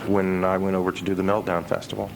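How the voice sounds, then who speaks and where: A man speaking as a musician in a casual radio interview, telling things in a halting way with pauses and restarts. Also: low voice, monotone, gravelly. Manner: halting, pausing, trailing restarts. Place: radio interview with noise behind the voice. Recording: noisy radio aircheck recording.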